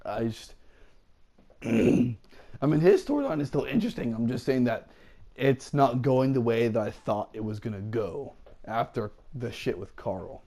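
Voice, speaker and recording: A man's voice: mid-range voice, warm tone. A congested young man giving casual, rambling commentary on a video game. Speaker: let's play commentator.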